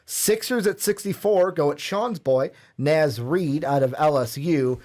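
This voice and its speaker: Male co-host, a bit higher pitch, loose conversational read with ums. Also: a little slow, darkish tone. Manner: casual, filler-heavy, self-correcting. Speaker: podcast co-host. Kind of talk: reading a mock draft list.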